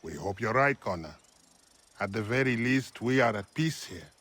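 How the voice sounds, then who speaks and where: Subdued settler husband, lowish bright voice. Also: male voice, expressive, normal speed. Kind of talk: video game character dialogue.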